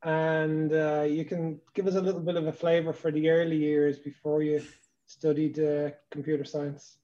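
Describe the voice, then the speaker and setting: A man's voice: on the high side, level tone, moderate pace, mellow, dull audio. A middle-aged man hosting a podcast, interviewing guests in a casual, chatty way. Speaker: podcast host.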